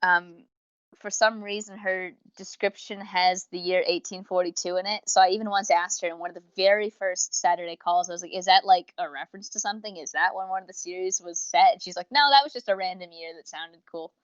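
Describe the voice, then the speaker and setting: A woman's voice: fairly low pitch, steady tone, brisk, smooth, dry recording, lo-fi sound. A woman co-hosting a casual fan discussion, talkative and rambling, with slangy phrasing and acted-out asides. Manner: rambling, talkative, slangy, acts out imagined remarks. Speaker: podcast co-host reviewing audio dramas.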